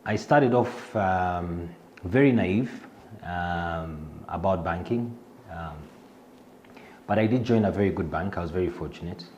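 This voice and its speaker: A man's voice: low voice, a little slow, darkish tone, a little hiss or hum. A middle-aged Kenyan man with a Kenyan accent, introducing himself in an interview, hesitant with many fillers and restarts. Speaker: fintech executive.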